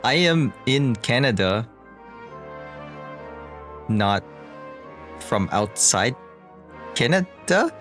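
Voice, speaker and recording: A man streaming a game, playful and giggly, thinking out loud as he goes. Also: mid-range voice, big pitch swings, drawn-out words, pauses often, soft background music. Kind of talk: casual gameplay chat.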